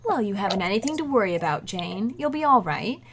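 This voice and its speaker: A woman's voice: medium pitch, lively intonation, brisk, a bit bright, a little hiss or hum. A woman playing a reassuring, wisecracking wife and friend in old-time radio comedy style. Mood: reassuring, wry.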